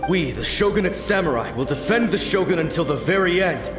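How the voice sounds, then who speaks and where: A man's voice: fairly high pitch, animated, brisk, close to an everyday voice. A man voicing a loyal, formal young samurai soldier in a game. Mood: earnest. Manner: formal and dutiful. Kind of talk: game voice acting. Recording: roomy and distant under music.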